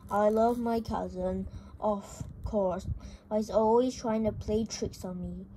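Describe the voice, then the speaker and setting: A high-voiced reader reading a comic story aloud haltingly, stumbling over words, in an amateur home recording. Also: fairly even intonation, mellow, slight background noise, poor audio. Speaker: video host reading a book aloud.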